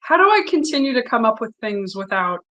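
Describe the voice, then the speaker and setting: A woman's voice: a little high, very animated, brisk, fairly bright tone, highs cut off. Chatty young woman singer, laughing often. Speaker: singer and interview guest. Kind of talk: casual interview chat.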